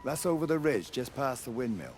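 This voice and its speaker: Actor as a blunt countryman, lively intonation. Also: medium pitch, brisk, noisy background, lightly acted. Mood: dry, guarded. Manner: blunt, colloquial. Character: gruff, plain-spoken English countryman, grieving and stubborn. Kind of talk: video game drama acting. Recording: music under the voice.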